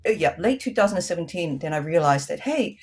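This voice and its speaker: A woman with a New Zealand accent, the guest in a casual interview, talking with many hesitant ums and lively rises in pitch. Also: fast, slightly rough.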